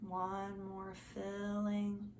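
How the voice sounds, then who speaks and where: Slow-paced woman leading guided meditation, slightly creaky. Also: medium pitch, monotone. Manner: gentle, soothing, unhurried. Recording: faint background noise.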